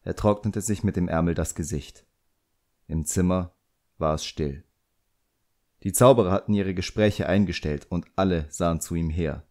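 A man's voice: low voice, normal speed, dark tone. A man narrating a literary audiobook in a dramatic storytelling style.

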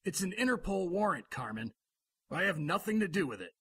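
A blunt, official police lieutenant in a radio drama. His voice is a bit high, forceful and husky, with a firm, dry wit. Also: very animated, brisk, no echo, heavily acted. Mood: official, wary.

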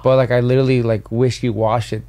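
A man's voice: medium pitch, flat delivery, fast, mellow. A man in his thirties co-hosting a casual podcast chat, asking playful, teasing questions into a studio mic. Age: 30s. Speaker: podcast co-host. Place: studio with couches and murals. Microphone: boom studio mic.

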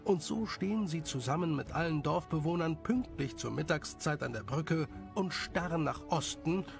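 A man reading scripted story narration as the narrator, with a creaky voice. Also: fairly high pitch.

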